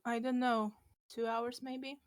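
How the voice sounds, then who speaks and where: A woman's voice: fairly high pitch, level tone, slow, no room sound, studio quality. A young woman from Poland with a Polish accent, Polish her first language, co-hosting a casual chat and giving brief, laid-back answers. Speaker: podcast co-host.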